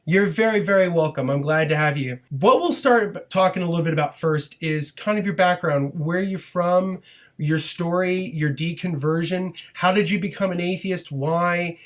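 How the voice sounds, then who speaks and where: A man's voice: sits high, normal speed. A man hosting a podcast interview, upbeat and encouraging toward his guest. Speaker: podcast host.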